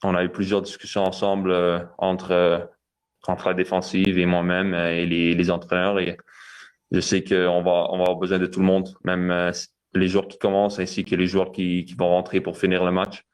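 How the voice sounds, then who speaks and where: A man's voice: sits low, monotone, normal speed, clean tone. A young man, a goalkeeper answering press questions with many fillers and restarts.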